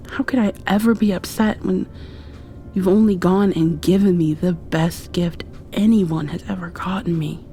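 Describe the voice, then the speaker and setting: A woman doing an ASMR roleplay, drifting between whispers and soft speech in an affectionate, playfully scolding way. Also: fairly low pitch, fairly even intonation, a little slow, slight background noise.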